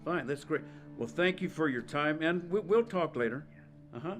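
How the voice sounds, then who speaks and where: A man playing a courteous, composed man of influence in a film drama, speaking formally and deliberately. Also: on the high side, animated, lightly acted. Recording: music behind the voice.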